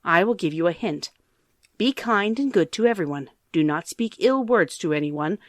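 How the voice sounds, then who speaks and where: A loving old grandmother gives tender, pitying advice, as a woman voices her. Her speech rises and falls with feeling, soft and caring. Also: fairly low pitch, lively intonation, normal speed, no room sound, clean studio sound, natural voice.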